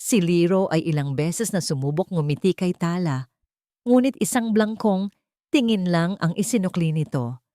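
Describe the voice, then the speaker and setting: Low-voiced woman narrating a drama. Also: animated, normal speed, mellow, no echo, studio quality. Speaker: storyteller. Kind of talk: scripted dramatic story narration.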